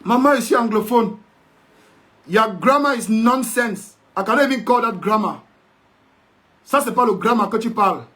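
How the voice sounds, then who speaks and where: Indignant Cameroonian man, high voice. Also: lively intonation, moderate pace, long pauses, fairly bright tone. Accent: Cameroonian French. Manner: indignant, sarcastic, preachy; mixes in English mockingly. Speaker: commentator. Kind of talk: ranting video commentary.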